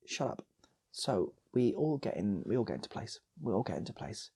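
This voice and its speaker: Husky, animated British man telling stories. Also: on the low side, normal speed. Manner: rambling, repeats phrases for comic effect. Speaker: channel host and storyteller. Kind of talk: improvised comic storytelling.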